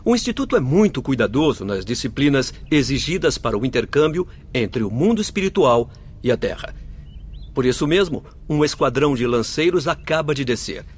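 An authoritative, kindly male doctor of the spirit world. His Brazilian voice sits at a middle pitch, formal and explanatory, in radio drama style. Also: very animated, brisk, breathy edge. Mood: explaining.

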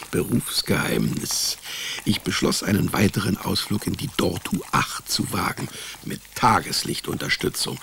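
A man's voice: lowish voice, gravelly, fairly bright tone, a little hiss or hum, heavily acted. An actor playing a sardonic, wisecracking male private detective, narrating his case in a dry, laconic, ironic way in a radio drama. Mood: wry. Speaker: narrator and lead character. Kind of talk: first-person hard-boiled radio drama narration. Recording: studio radio drama with music behind.

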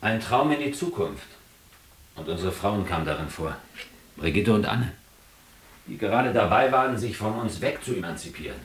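A low-voiced, wry bookish drinker. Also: male voice, expressive, normal speed, echoey room. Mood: dreamy wry. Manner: ironic, mock-learned, storytelling. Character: wry, bookish East German drinker recounting his escapades. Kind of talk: radio play narration and dialogue.

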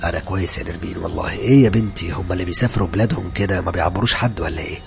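An easygoing young Egyptian man, voiced by the narrator teases a friend in a low, relaxed tone. He talks quickly over faint music. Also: gravelly. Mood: friendly. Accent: Egyptian Arabic. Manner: easygoing, teasing.

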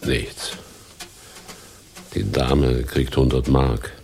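An actor playing an officious, controlling deputy hotel manager in a radio play, speaking formally and pompously. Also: male voice, rumbling low, fairly even intonation, extremely slow, creaky, darkish tone, a little hiss or hum, exaggerated voice. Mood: commanding. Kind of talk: German radio play acting. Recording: studio radio play with music behind.

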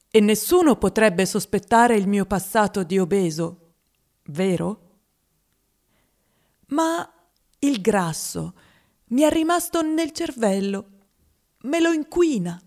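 A woman playing a wistful grown-up who was a fat child, in a deliberate, theatrical radio monologue. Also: medium pitch, darkish tone. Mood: confiding, bitter. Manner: deliberate, dramatic delivery with drawn-out lists. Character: wistful formerly fat grown-up recalling a greedy, mother-adored childhood.

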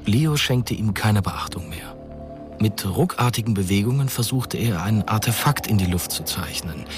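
An actor as the composed narrator of a German science fiction radio drama, reading scripted prose. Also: male voice, lowish voice, animated, moderate pace, natural voice. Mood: measured, suspenseful. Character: composed male storyteller of a science fiction tale. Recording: studio narration over music bed.

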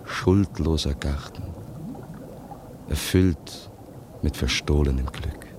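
An actor playing a composed, literate male companion in a radio drama, with a measured, lyrical, erudite delivery. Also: rumbling low, background noise. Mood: lyrical, tender.

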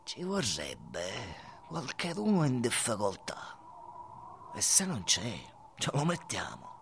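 A man's voice: medium pitch, wildly swooping pitch, slow, a bit bright, slight background noise, heavily acted. A man playing a sardonic, sly mentor cricket with a mobster air in a theatrical radio play. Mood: sly, encouraging.

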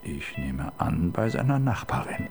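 A playful partner who teases his wife in her hospital bed. His voice is very deep and gravelly, with lively swings in pitch, and faint music plays beneath it. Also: unhurried, heavily acted. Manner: teasing, playful. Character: teasing male partner of the detective. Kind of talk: radio crime drama acting. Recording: radio play with faint music.

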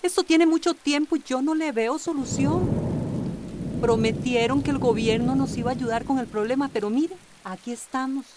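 A woman's voice: sits high, normal speed, close to an everyday voice. An actress playing a weary, distrustful villager attached to her home, plaintive and sighing, in a radio drama. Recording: rain and thunder noise behind.

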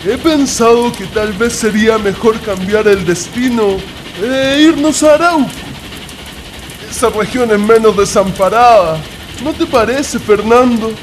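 Wry young chilean intellectual, high voice, radio play, bit of noise. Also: male voice, measured pace. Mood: thoughtful. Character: wry, wavering young male intellectual traveller. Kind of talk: radio drama acting.

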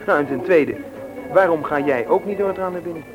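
Man acting a nervous young man, fairly high voice, hesitant, stammering, radio drama with music. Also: a little fast, natural voice. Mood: hesitant, doubtful. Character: nervous, doubtful young man drawn into crime. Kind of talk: radio play dialogue.

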